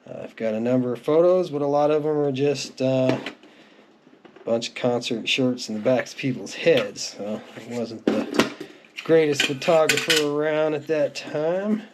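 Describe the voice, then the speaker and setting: A man's voice: mid-range voice, fairly even intonation, normal speed. A middle-aged man narrates a collection in a casual, unscripted, reminiscing way, as if chatting through it. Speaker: music collector presenting their collection. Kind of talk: casual show-and-tell narration. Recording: clearly noisy home recording with clicks.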